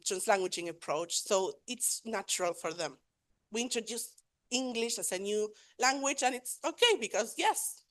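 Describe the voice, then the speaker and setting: Woman giving a conference talk in english, lots of ums and restarts, mid pitch voice. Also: fairly bright tone. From Argentina. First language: Spanish. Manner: hesitant, many fillers and self-corrections. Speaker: conference presenter. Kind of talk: academic presentation.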